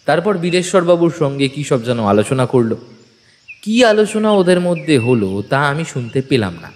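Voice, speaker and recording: Animated male story narrator with long reverb. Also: a little high, normal speed, a little hiss or hum. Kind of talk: dramatic scripted first-person narration.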